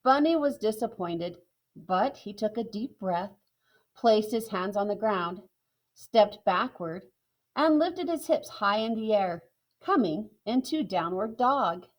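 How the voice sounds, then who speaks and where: A woman narrating a children's picture book in a calm, clear way. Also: mid-range voice, slow pace, poor audio. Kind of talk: children's storybook reading.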